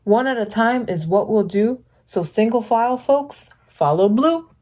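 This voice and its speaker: A woman's voice: on the high side, lively intonation, measured pace, darkish tone, voice pushed up. A woman voicing a grateful male city mayor giving a formal, proclaiming address in a children's story.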